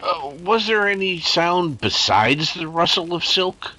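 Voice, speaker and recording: A man's voice: sits high, animated, unhurried, bright, forceful tone, exaggerated voice. A man playing a nervous, plain-talking detective sidekick with a hesitant, informal manner, in a 1930s-style radio drama. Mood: curious.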